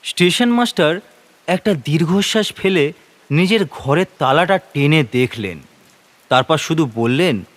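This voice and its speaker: A man narrating a horror story in a suspenseful, expressive, dramatic manner. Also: on the high side, measured pace. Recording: rain and noise behind narration. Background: rain.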